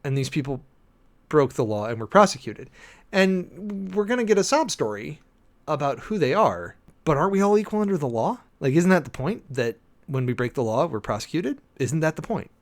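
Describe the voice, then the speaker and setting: A man's voice: a little high, big pitch swings, moderate pace. An American man giving a dramatic reading with off-the-cuff commentary, in a sardonic, emphatic way with mock-exasperated asides, like a preacher-commentator.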